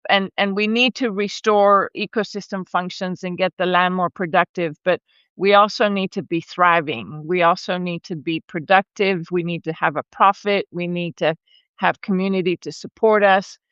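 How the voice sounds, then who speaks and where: A woman's voice: fairly low pitch, steady tone, moderate pace, fairly bright tone, clean tone, no room sound, highs cut off. A woman in her forties, a regenerative rancher and educator who grew up in Argentina and Brazil, telling her story in a chatty way, laughing often and restarting her sentences. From born in Argentina, raised in Brazil. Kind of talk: casual conversational storytelling. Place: home, live video call. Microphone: video call microphone.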